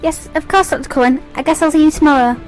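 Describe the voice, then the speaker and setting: A woman's voice: high-pitched, steady tone, quick pace, faint music behind, lightly acted. A woman playing a dutiful, deferential hospital nurse in a radio drama. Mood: polite, dutiful.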